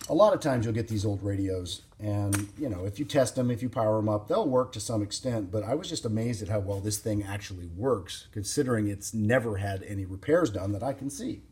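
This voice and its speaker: A man giving an informal, unscripted hands-on demonstration as a hobbyist, explaining things in a plain-spoken, halting way. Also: lowish voice, expressive, warm tone, breathy edge. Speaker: vintage radio hobbyist presenting a demonstration.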